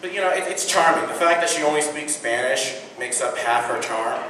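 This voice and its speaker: A man's voice: mid-range voice, fairly quick, sharp tone. A teenage boy performing a self-deprecating stand-up routine with punchy delivery. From Indiana. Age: teens. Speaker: stand-up comedian. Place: large indoor venue with audience. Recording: echoey hall from far off with noise.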